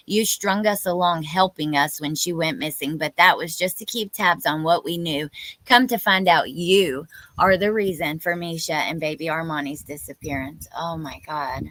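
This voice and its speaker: A woman's voice: low voice, moderate pace, fairly bright tone, dry recording, rough recording. A woman in her thirties hosting a live stream, chatting informally and exclamatorily with viewers and reading out their comments.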